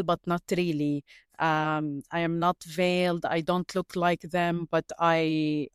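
A young woman from Palestine, a writer answering interview questions in a thoughtful, halting way as she searches for words. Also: lowish voice, clear, resonant tone, no echo, clean studio sound.

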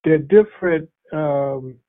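A man hosting a casual radio interview, talking haltingly with many fillers and restarts. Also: a little high. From United States. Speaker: radio host. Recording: tinny phone-like radio audio.

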